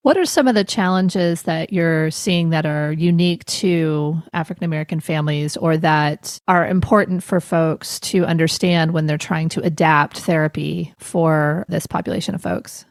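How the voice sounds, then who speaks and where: Low-voiced woman podcast co-host. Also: steady tone, moderate pace, few pauses, dry recording, clean studio sound. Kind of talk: interview question.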